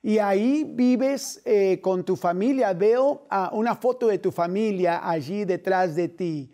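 Middle-aged male TV host, slow and earnest, mellow voice, studio setting. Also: high voice. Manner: earnest, measured, with gentle hand gestures.

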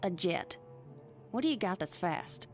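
A woman voicing a determined, sharp superhero mother in an animated game, firm and urgent. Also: on the low side, a little slow, over loud music. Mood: wary, tense. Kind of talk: animated film-style game dialogue.

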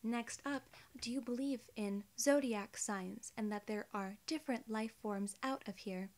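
Clinical female alien examiner acted by a young woman, ASMR role-play: unhurried, deliberate, soothing, fairly high voice. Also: lightly acted. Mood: formal, calm. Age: young adult. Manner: soft-spoken, formal, deliberate, soothing. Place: small indoor room, seated.

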